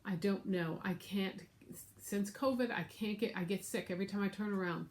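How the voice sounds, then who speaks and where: A woman's voice: medium pitch, moderate pace, a little hiss or hum. An American woman with a nasal, stuffed-up voice from being sick, chatting casually to camera as she shows her craft projects. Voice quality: nasal, congested. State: sick with congestion. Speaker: craft vlogger and show host. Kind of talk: casual show-and-tell chat to camera. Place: room at home.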